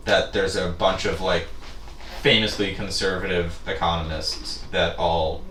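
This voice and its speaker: A teenage boy co-hosting a casual podcast, earnest and quick to agree. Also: deep voice, flat delivery, bright, forceful tone. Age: teens. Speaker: podcast co-host. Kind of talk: casual chat. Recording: echoey room, distant mic, faint noise.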